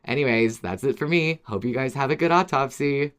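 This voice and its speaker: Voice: medium pitch, a little fast, a bit bright, clear, resonant tone. A podcast co-host speaking in a conversational, agreeable way, as in casual chat or reading out listener mail.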